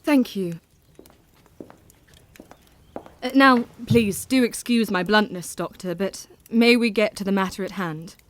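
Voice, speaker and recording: A woman's voice: medium pitch, moderate pace, a little hiss or hum, natural voice. A woman playing a frank, troubled but resolute Victorian wife in an audio drama, speaking formally and earnestly. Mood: polite, guarded.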